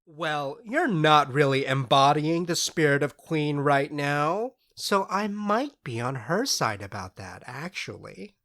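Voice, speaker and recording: Flippant fairy trickster, fake polite, drawls it out, swoopy pitch, audio comedy. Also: expressive, fairly bright tone. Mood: flippant, breezy. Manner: mock-deferential, flippant, drawn-out delivery. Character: mischievous, cheeky young fairy servant trickster. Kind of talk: modern comedic audio drama acting.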